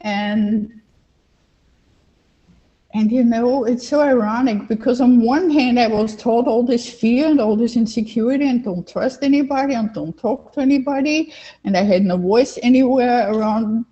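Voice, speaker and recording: A woman's voice: fairly high pitch, moderate pace, dark tone. An older woman from Vienna with an Austrian accent, a native German speaker, telling her personal story as an interview guest, repeating phrases for emphasis. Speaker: interview guest, life coach. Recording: dull, lo-fi online call audio.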